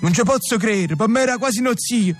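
An actor playing a naive, whiny young son of a crime family in a comic radio drama. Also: male voice, high-pitched, animated, fast talker, faint music behind.

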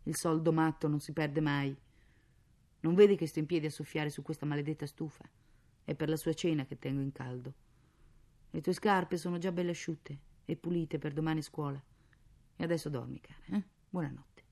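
A woman's voice: low voice, fairly even intonation, moderate pace, dark tone. Woman acting poor mother, harried, sarcastic, exclamatory, scolding yet tender, dramatic storytelling. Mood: tender, hushed.

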